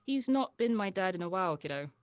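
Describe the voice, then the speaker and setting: Woman acting a wry, guarded grown-up, lively pitch. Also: fast talker, no echo, close to an everyday voice. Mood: wistful, guarded. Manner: casual, teasing. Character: wry, guarded grown-up gently humouring a young child. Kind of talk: acted script table read.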